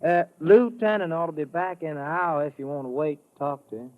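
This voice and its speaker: Folksy higher-voiced Southern army sergeant, acted by a man. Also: lightly acted. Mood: helpful, matter-of-fact. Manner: folksy drawl, unhurried. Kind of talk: 1950s radio drama acting. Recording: muffled old radio recording.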